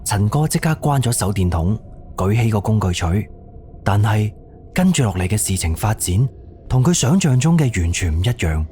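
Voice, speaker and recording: Low-voiced male suspense narrator. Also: big pitch swings, normal speed, warm tone, slight background noise. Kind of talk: scripted suspense story narration. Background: background music.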